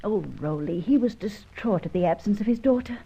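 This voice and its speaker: On a dull old radio recording, a loyal young accomplice in a detective drama. Her voice is high and a little husky, with lively, earnest delivery. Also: a little fast. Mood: earnest, troubled.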